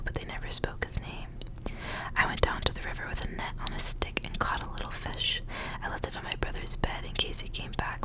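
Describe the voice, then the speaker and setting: A woman whispers her way through a story in an ASMR style. Her voice is breathy and entirely hushed, and she phrases each line softly and deliberately. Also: low-quality recording. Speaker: ASMR reader. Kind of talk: ASMR storybook reading with casual asides.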